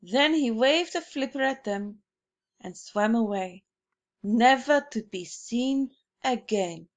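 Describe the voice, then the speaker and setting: A woman's voice: a little high, takes it slowly. Woman reading as a grave old grandmother. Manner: speaks with calm, serious conviction. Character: stern old grandmother, grave and matter-of-fact.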